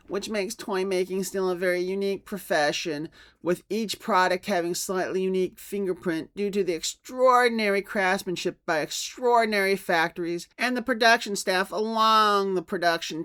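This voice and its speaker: A man's voice: sits high, normal speed, fairly bright tone, clear, resonant tone. A man voicing a pompous, self-satisfied toy company boss in a mock dramatic reading of a letter. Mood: boastful, self-satisfied. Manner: pompous, salesman-like.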